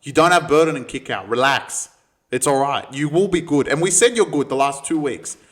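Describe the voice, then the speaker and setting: A man's voice: a little high, a little fast. Opinionated man bantering about sport. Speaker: sports podcast co-host. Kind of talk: casual sports banter and tipping.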